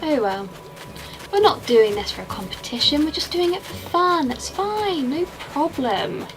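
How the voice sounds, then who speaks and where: A woman's voice: high-pitched, animated, a little slow, poor audio. A young woman with an English accent, chatting casually and rambling while she plays a game and answers her chat. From England. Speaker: streamer.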